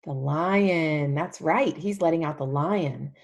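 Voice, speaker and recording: A woman leading an interactive storybook read-along for young children, animated and emphatic, using simple repeated phrases and questions. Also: low voice, slow pace, gravelly. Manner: animated, emphatic, often drops to a whisper.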